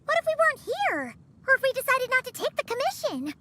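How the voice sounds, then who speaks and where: A woman voicing a tiny, chirpy, childlike fairy companion in a video game, chatty and blunt with a squeaky tone. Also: sing-song, fast talker, pushed and bright, slight background noise, full character voice. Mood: questioning. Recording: music behind the voice.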